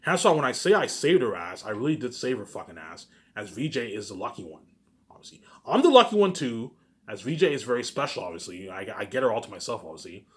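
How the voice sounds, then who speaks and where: Male vlogger, rapid informal delivery, mid-pitched, self-aggrandizing, loops back on himself. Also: very animated. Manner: repetitive, circling back over the same phrases. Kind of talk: rambling informal monologue to viewers.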